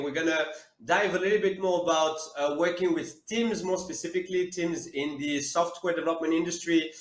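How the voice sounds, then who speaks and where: A man's voice: on the high side, fairly bright tone. Man hosting in an echoey room. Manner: friendly, hesitant with many fillers and repeats. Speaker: podcast host. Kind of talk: interview hosting.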